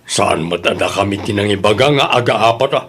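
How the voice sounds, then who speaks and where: A man with an Ilocano accent playing a defensive, exasperated village captain and husband in an audio drama, his voice husky. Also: fairly low pitch, a little fast, a bit bright. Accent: Ilocano, northern Philippines.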